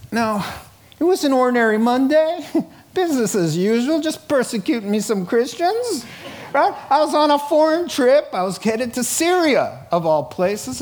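A middle-aged man preaching a sermon in a conversational, informal way, animatedly telling stories and acting out dialogue. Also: extremely high pitch. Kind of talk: sermon, reading scripture and retelling it in colloquial, dramatized asides.

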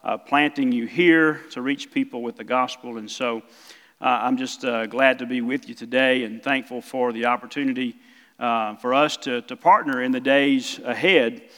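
A middle-aged man with a South Mississippi accent, preaching as a guest missionary pastor, halting with many fillers. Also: mid-range voice, fairly even intonation, a bit bright. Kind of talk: sermon. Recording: church hall reverb.

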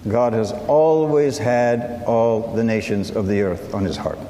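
A man whose first language is Assyrian preaches in an unhurried, deliberate sermon delivery. His voice is of middling pitch and rings through a hall with long reverb. Faint ambience sits underneath. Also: warm tone, slight background noise. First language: Assyrian (Syriac). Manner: measured, unhurried, invites the audience to read along.

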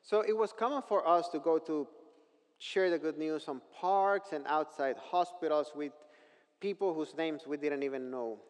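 Preacher, male, Mexican accent, native Spanish speaker, slightly high voice, measured and earnest explaining, long hall reverb. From northern Mexico. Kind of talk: sermon with scripture reading.

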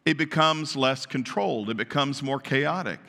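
A man preaching a sermon to his congregation, naturally loud and emphatic, often asking them questions. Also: mid-range voice, moderate pace, gravelly. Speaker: pastor. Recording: long reverb of a large hall.

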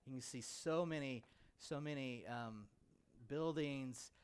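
An American man, a pastor, speaking informally to his church about a mission trip in an animated, conversational storytelling way. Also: mid-range voice, slow pace, clear, resonant tone.

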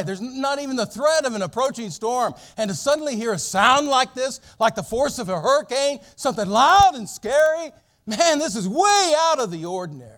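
A middle-aged male pastor preaching an expository sermon, telling the story dramatically with big swings in loudness. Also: high-pitched.

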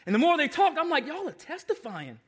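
An American man in his forties preaching a sermon as a pastor, emphatic and given to repeated refrains, moving between hushed asides and loud exclamations. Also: very high-pitched, huge pitch swings, fairly quick. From Long Island, New York, United States. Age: 40s.

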